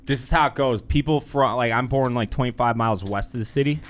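A man's voice: medium pitch, fast talker, a bit bright. A man with a Chicago accent, co-hosting a casual podcast in a studio, dry and offhand. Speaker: podcast co-host.

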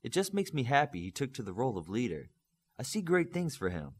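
A man's voice: mid-range voice, wildly swooping pitch, a little fast, a little mellow, slightly rough, exaggerated voice. A man voicing a proud, eager male superhero team coach in an audiobook, chatty and emphatic.

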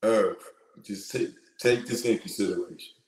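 A middle-aged man with a big, lowish voice talks loosely and animatedly, full of slang, as he hosts his live commentary show. He speaks into an earphone mic, and the small room around him rings with echo. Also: normal speed, darkish tone. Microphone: wired earphone mic. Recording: echoey room.

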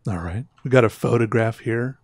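A man in his thirties talks in a loose, rambling way, often cutting himself off mid-sentence. His voice has a gravelly edge and a slightly sore throat. It is recorded close on a headset mic in a small, dry room. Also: mid-range voice, normal speed, a little mellow, no room sound. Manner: rambling, self-interrupting, chatty. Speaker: artist reviewing viewer art. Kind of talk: casual livestream commentary. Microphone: headset with boom mic.

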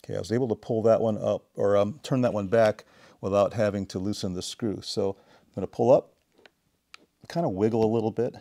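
A middle-aged man talks through a repair in a casual way. His voice sits fairly low and has a relaxed, dark tone. He explains deliberately, one step at a time. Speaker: DIY repair demonstrator. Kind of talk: casual hands-on tutorial narration. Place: indoor home workshop.

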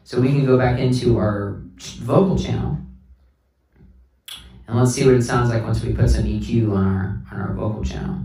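A man's voice: on the low side, gravelly, dark tone. A man giving a casual hands-on tutorial, talking through each step unhurriedly as he works as a sound instructor. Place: indoor room with sound system. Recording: roomy, distant mic, PA sound.

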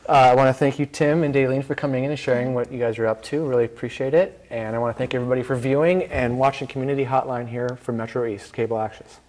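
A middle-aged man hosts a casual studio interview. He speaks quickly, in a mid-pitched voice. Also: steady tone, a little mellow. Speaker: talk show host. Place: small TV studio, indoors.

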